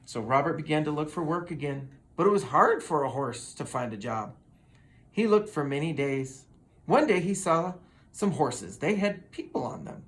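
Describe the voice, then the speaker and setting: A storybook reader tells a children's tale aloud. The pitch moves around in a lively way, and the delivery is expressive and dramatic. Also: normal speed. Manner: expressive, with dramatic sound words.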